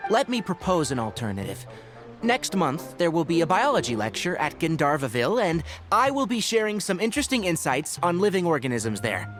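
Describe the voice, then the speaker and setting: An actor playing a patient young male ranger scholar in a game, measured and explanatory. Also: fairly high pitch, big pitch swings, a bit bright, soft background music. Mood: polite. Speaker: game character.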